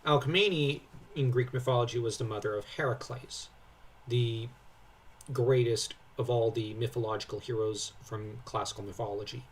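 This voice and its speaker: A man's voice: medium pitch, fairly even intonation, normal speed, recorded from a distance, a little hiss or hum, poor audio. A young man co-hosting a casual lore breakdown, talking in an enthusiastic, rambling way full of tangents. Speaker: co-host commentator.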